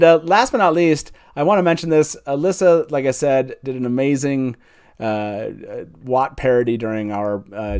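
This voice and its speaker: A man's voice: on the low side, darkish tone, clear, resonant tone. A man hosting a casual livestream, speaking in an enthusiastic, chatty way. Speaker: show host.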